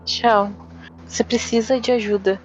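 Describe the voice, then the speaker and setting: A woman's voice: fairly high pitch, steady tone, measured pace, lightly acted. A woman with a Brazilian accent playing a concerned, caring adventurer in a tabletop game, earnestly coaxing. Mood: worried coaxing. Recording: slightly dull, music behind.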